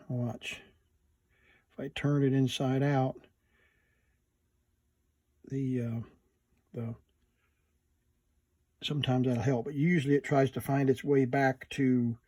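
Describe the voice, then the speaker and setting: A man hosting a casual hands-on repair video, unhurried and murmuring to himself as he works. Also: mid-range voice, mellow, no room sound. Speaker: host of a repair video channel.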